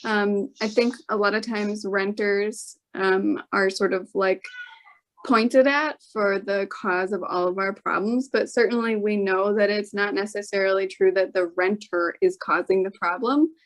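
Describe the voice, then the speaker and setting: A woman with a medium-pitched voice gives a candidate's answer at a forum. She speaks unscripted and carefully, hedging and pausing to reword her points. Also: fairly even intonation. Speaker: political candidate.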